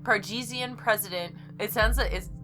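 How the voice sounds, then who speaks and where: A woman's voice: medium pitch, normal speed. A woman hosting a gaming video, reading game text aloud and chatting in a playful way, sometimes stumbling over the words. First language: English. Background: game music.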